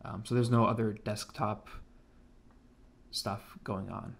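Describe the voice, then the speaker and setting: A man's voice: lowish voice, flat delivery, slow, creaky, slight background noise. A man with an American accent giving a laid-back, informal tech demonstration as a hobbyist. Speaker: hobbyist presenter of an electronics project. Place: indoors at a home computer desk.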